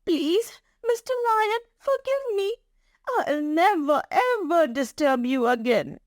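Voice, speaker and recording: A woman's voice: very high-pitched, sing-song, slow, dry recording, clean studio sound, pitched above the performer's own voice, heavily acted. A woman voicing a tiny, timid, polite mouse who is eager to help, in a storybook reading. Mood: frightened, pleading.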